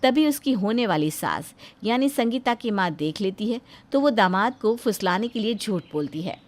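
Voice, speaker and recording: Female narrator, middle pitch, scripted storytelling delivery. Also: lively intonation, moderate pace.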